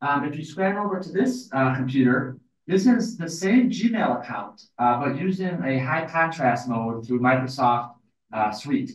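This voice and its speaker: Male demonstrator, slightly high voice, quick and plain, recorded off-mic in a roomy space. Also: expressive, recorded from a distance. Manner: quick, plain explaining with fillers. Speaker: guest demonstrator. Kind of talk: live software demonstration.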